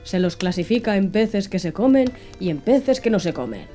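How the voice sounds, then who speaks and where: Woman reading as blunt harpooner man, softly mellow. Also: mid-range voice, big pitch swings, moderate pace, faint music behind, close to an everyday voice. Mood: gruff, dismissive. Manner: blunt, unimpressed. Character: Ned Land, blunt, food-minded Canadian harpooner man. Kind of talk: audiobook character dialogue.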